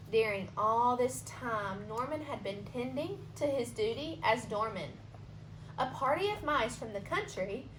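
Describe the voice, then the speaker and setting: A woman reading a children's storybook aloud expressively, pausing for asides and questions to young listeners. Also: medium pitch, fairly bright tone. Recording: roomy echo, noticeable background hiss.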